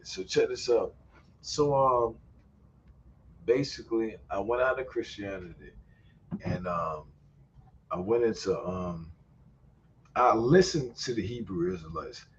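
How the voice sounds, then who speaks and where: A man's voice: medium pitch, darkish tone, muffled recording, slight background noise. A man hosting a casual online voice chat, slangy and loose, swearing often and laughing a lot. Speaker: host. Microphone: online call mic.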